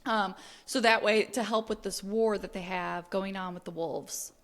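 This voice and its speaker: A woman's voice: mid-range voice, steady tone, normal speed, in a large hall. A woman co-hosting a podcast, chatting casually and informally about a book, peppering her sentences with "like". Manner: chatty and informal, heavy use of "like". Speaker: podcast co-host. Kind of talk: casual book discussion chat.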